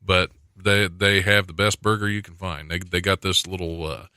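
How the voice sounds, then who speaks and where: A man's voice: deep voice, little pitch movement, moderate pace, bright, forceful tone, clean tone, no room sound, clean studio sound. A man hosting a radio show with casual banter, wry and self-deprecating. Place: small dry studio. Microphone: studio microphone.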